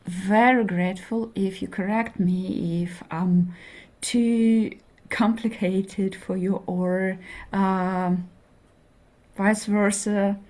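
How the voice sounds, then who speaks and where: Slow, hesitant woman lecturing. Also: medium pitch, fairly even intonation, a little mellow. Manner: hesitant, many fillers, checks in with okay. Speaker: linguistics lecturer. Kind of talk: introductory university lecture.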